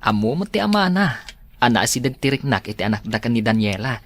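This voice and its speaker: A man's voice: medium pitch, big pitch swings, brisk, a bit bright. Earnest young-sounding Ilocano man in a radio drama, acted. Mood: calm, earnest. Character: protective, exasperated man, godfather-like friend to his ex.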